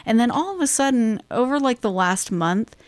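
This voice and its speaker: A woman podcast co-host who reads a spooky second-person tale slowly and hushed, then chats and giggles with her sister. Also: a little high, lively intonation. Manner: slow, hushed storytelling, then giggly chatter. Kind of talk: scripted spooky narration and casual chat.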